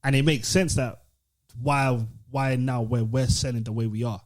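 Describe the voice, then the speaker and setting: A man's voice: medium pitch, steady tone, moderate pace. A British man in casual podcast conversation, questioning and arguing his point. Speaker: podcast host. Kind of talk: casual discussion.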